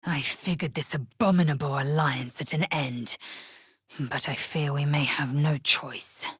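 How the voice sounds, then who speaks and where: A woman voicing a fierce, haughty githyanki warrior in a video game, with a husky rasp and an imperious, clipped, formal way of speaking. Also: deep voice, steady tone, measured pace, fairly bright tone, no echo, clean studio sound, exaggerated voice. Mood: proud, dry. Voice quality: husky, raspy. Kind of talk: video game character voice lines.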